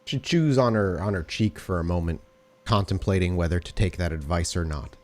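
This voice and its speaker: A man's voice: deep voice, fairly quick, a little mellow. A man improvising narration as the game master of a tabletop role-playing game.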